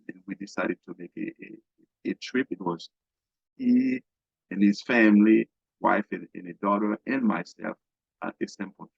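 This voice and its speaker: A middle-aged man from Haiti, a guest business coach talking haltingly in an interview, with many fillers and restarts. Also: low voice, creaky, slightly rough, no echo. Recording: dull, dry lo-fi sound.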